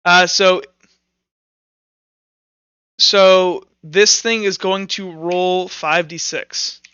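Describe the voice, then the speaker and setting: A man with a high, crisp voice acts as game master for a tabletop roleplaying game. He improvises in an informal, halting way, thinking aloud as he goes. The recording is dry and slightly dull. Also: fairly even intonation, pushed and bright, no echo.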